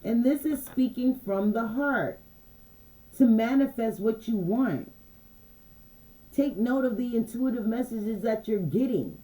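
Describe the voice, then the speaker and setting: A woman's voice: on the high side, measured pace, rough recording. A woman who does intuitive card readings, talking casually to her viewers and often checking in with them. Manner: relaxed, conversational, repeats phrases and asks "you dig me?". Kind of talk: casual channeled message livestream.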